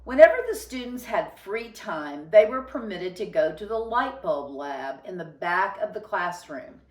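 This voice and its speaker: Unhurried woman reading a storybook, roomy echo. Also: mid-range voice, expressive, recorded from a distance. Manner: deliberate, emphatic phrasing. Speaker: storybook reader. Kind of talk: expressive children's read-aloud narration. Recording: roomy, echoing sound.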